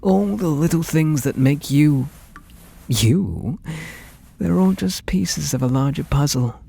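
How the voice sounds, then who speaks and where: Man playing a mellow, slow British obsessive artist. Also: a little high, animated, heavily acted. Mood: intense devotion. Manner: slow, breathy, doting, menacing murmur with soft whispers. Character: obsessive, possessive male painter doting on his captive muse. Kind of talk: ASMR roleplay, intimate dramatic monologue.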